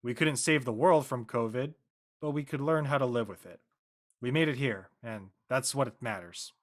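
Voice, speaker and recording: A man playing an easygoing middle-aged father in a drama reading, speaking in a measured, accepting way. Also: medium pitch, close to an everyday voice. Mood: accepting, reflective.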